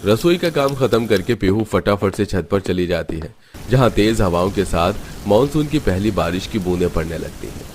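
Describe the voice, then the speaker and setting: Very deep male story narrator. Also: lively intonation, normal speed, a little mellow, slight background noise. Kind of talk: scripted cartoon story narration.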